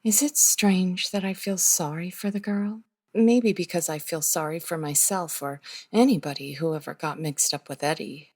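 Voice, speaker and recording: A woman playing a sad, polite widow in an audio drama, subdued and rueful, with a slightly husky voice. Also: lowish voice, normal speed, darkish tone.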